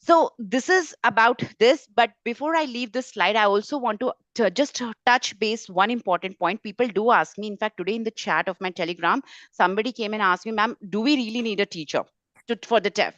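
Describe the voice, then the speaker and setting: A woman's voice: mid-range voice, brisk, fairly bright tone, no room sound. A woman speaking informally as a tutor in an online webinar, stressing points emphatically through repetition. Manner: emphatic, repeats words and phrases for stress. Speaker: French tutor hosting a webinar. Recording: slightly dull, lo-fi webinar audio.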